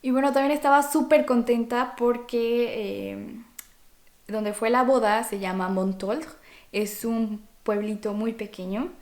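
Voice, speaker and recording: A woman's voice: on the high side, a little slow, a little mellow. A young Mexican woman, a native Spanish speaker with a Mexican accent, chatting informally to viewers as a vlog host. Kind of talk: casual talk to viewers.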